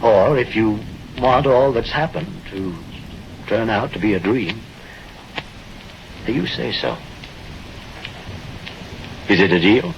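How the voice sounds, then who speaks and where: An actor playing a godlike, fatherly older man who speaks slowly and persuasively in an old-time radio drama. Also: on the low side, animated, creaky, heavily acted. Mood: fatherly, frank. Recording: dull old radio recording, noisy. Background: rain and thunder.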